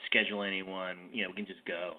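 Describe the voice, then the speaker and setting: A low-voiced man musician being interviewed, easygoing and agreeable in casual chat. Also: steady tone, fast, phone-quality sound. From New York. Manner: easygoing, agreeable, says yeah often. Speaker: musician in a duo, guitar.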